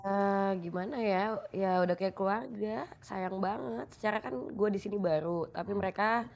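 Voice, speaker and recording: A woman's voice: medium pitch, moderate pace, gravelly, darkish tone. A young woman guest on a comedy show, talking candidly and a bit sassily about her housemates. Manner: candid, chatty, a little sassy. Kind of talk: casual chat on a comedy show.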